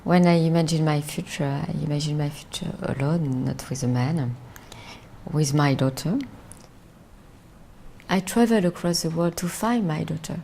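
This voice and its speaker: Gravelly low-voiced Frenchwoman, halting English. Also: dark tone, a little hiss or hum. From France. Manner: halting, searching for words in non-native English. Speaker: documentary interviewee. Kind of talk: reflective personal interview.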